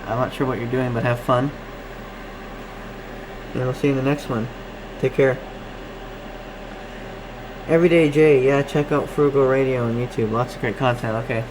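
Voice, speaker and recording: A man's voice: mid-range voice, steady tone, moderate pace, pauses often. Man live-streaming over noisy background. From Canada. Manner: rambling, distracted, thinking aloud. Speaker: live stream host, radio hobbyist. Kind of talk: casual live-stream chat, reading and answering comments.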